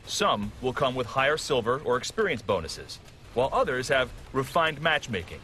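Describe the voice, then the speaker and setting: A man's voice: medium pitch, big pitch swings, normal speed, bright, forceful tone, slight background noise. A man reading a scripted game tutorial in a polished, instructional way. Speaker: tutorial narrator. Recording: music behind the voice. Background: background music.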